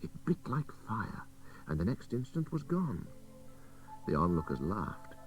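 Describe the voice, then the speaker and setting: A deep-voiced man narrates a literary story with a wide, animated range of pitch. His delivery is measured and dramatic. It comes from an old taped radio broadcast, slightly distant with faint hiss. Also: soft background music. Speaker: story reader.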